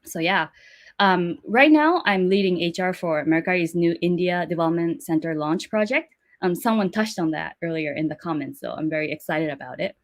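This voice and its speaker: A woman's voice: on the low side, animated, moderate pace. A woman working in HR who moderates an online panel, introducing speakers with a friendly, filler-heavy manner.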